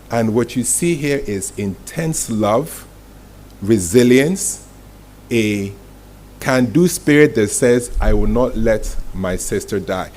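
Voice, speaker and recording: A man's voice: mid-range voice, a little mellow. Man giving an emphatic talk, noisy recording. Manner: emphatic storytelling, rhetorical questions, short dramatic phrases. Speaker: masterclass speaker, communications executive. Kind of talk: motivational presentation to a live audience. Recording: clearly noisy live room recording.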